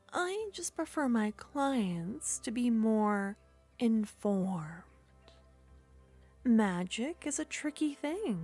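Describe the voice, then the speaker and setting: Sweet clingy witch played by a woman, soft and whispery, super slow and drawn out, little bit of music behind. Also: a little high, heavily acted. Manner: soft-spoken, slipping into whispers, coaxing and flattering. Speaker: ASMR roleplay performer. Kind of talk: ASMR roleplay.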